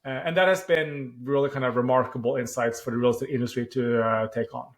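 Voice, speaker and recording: A man in his forties from Norway with a Norwegian accent, a founder answering podcast interview questions thoughtfully, often pausing with "uh" and restarting phrases. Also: mid-range voice, fairly even intonation. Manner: thoughtful, with frequent "uh" pauses and restarts. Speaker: startup founder.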